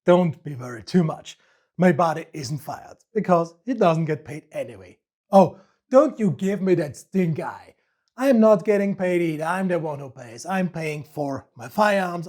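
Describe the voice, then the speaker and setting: A man's voice: on the high side, darkish tone, husky. A man with an Austrian accent hosting a joking product review in a playful, self-mocking manner. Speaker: host of a firearms review channel.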